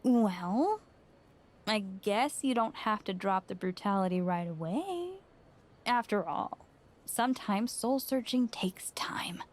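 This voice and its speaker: A woman's voice: medium pitch, animated, slow pace. A woman playing a sarcastic, candid friend of a wrestler in a video game cutscene. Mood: earnest advice.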